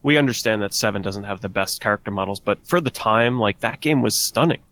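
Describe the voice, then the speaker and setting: A man with a fairly low voice co-hosts a casual podcast. He talks loosely, full of "like" and "you know," and steers the conversation. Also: brisk, a bit bright. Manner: chatty, frequent "like" and "you know".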